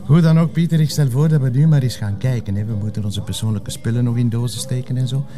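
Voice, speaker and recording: A man's voice: mid-range voice, fast, dark tone, close to an everyday voice. A man acting a patient, sensible police inspector in a TV crime drama, polite and restrained, with a Flemish accent. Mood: patient, dry.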